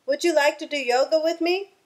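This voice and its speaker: A woman voicing a friendly, hopeful little male rabbit in a storybook, gentle and encouraging. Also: sits high, brisk, voice pushed up, natural voice. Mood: cheerful.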